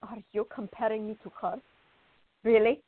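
Tinny, high-pitched, indignant young woman acted by a woman. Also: steady tone, normal speed, lightly acted. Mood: angry, jealous. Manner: indignant, questioning, argumentative. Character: fiery, indignant young woman, hot-tempered and feisty. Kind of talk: radio drama acting. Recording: tinny, band-limited, echoey room.

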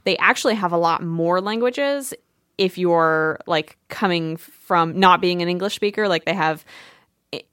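A woman's voice: on the low side, measured pace, a bit bright, smooth, no echo, studio quality. A woman co-hosting a casual podcast, chatty and talking in loose, rambling sentences. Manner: chatty, many fillers and restarts. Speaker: podcast co-host. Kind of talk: casual chat.

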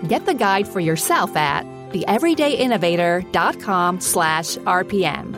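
A woman reading a scripted promo in a smooth, persuasive announcer style. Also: lowish voice, lively intonation, normal speed, over music. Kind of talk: scripted ad read.

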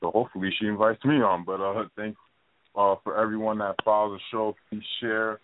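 A man's voice: on the low side, steady tone, a little fast, background noise. A man hosting a casual interview show, rambling with lots of fillers and repeats. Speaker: podcast host. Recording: tinny phone line.

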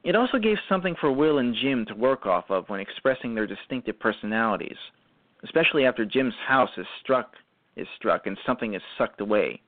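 A man hosting a radio show, speaking in a halting way that often restarts and repeats phrases as he gives commentary. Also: medium pitch, lively intonation, dry recording. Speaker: radio show host and writer-performer. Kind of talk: show commentary, semi-prepared talk. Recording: muffled lo-fi audio.

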